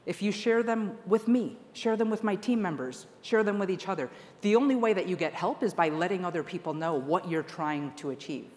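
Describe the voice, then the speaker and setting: A woman's voice: fairly low pitch, animated, fairly quick, hardly any pauses, a little mellow. A woman delivering an earnest, emphatic keynote speech to a conference audience. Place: large conference hall stage. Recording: long hall reverb.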